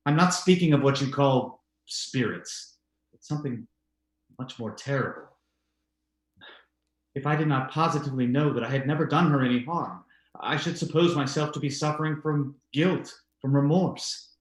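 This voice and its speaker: A man's voice: medium pitch, close to an everyday voice. A man playing a tormented, guilt-ridden widower in love, melodramatic and brooding, in an old-time radio drama style, with a roomy echo. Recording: echoey, roomy sound.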